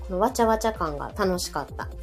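Animated Kansai woman chatting over music. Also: on the low side, fast. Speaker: podcast co-host. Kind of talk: casual chat, reacting to listener comments. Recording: background music under the talk.

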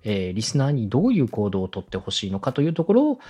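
A man's voice: medium pitch, wildly swooping pitch, quick pace, dark tone, breathy edge. A man hosting a podcast as a coach, speaking in a polite, encouraging manner. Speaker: podcast host and radio director. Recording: music playing behind the voice. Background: background music.

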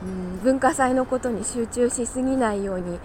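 A woman chatting casually on her own like a morning podcast host, talkative and quick to laugh. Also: a little high, a little mellow, soft background music. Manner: chatty, laughs easily while talking. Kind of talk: casual solo morning chat.

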